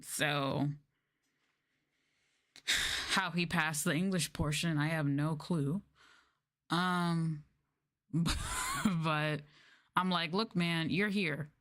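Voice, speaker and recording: A woman with a fairly low-pitched voice talks casually as a livestreamer. Her phrasing is informal and slangy. She tells stories with exasperation, slipping into quoted exchanges as she goes. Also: a bit bright. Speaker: livestreamer and former English teacher.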